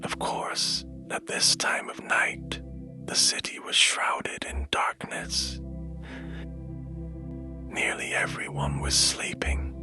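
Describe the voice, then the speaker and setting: Bedtime story narrator, male: extremely low whisper, soothing and gentle, music playing clearly behind. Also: completely flat delivery. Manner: hushed, gentle, soothing, unhurried.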